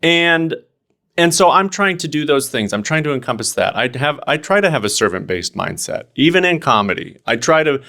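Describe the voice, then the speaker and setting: A man's voice: on the high side, sing-song, fairly quick, creaky, sharp tone. Earnest young man chatting about comedy. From Oregon, United States. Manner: earnest, reflective, many fillers and restarts. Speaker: stand-up comedian, podcast guest. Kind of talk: casual conversation.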